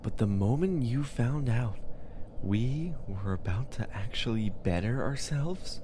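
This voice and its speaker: An actor playing a bitter, vengeful undead man, delivering a halting, coldly menacing monologue in an audiobook drama. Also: lowish voice, background noise. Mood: seething grief. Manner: deliberate, halting, seething villain monologue.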